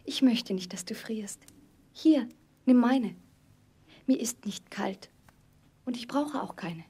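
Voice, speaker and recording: A kind little orphan girl from a storybook audio play. Her voice is mellow and breathy, a bit high, with gentle, earnest, selfless warmth. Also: takes it slowly, full character voice. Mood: caring. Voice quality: soft, breathy. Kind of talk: fairy-tale audio play acting.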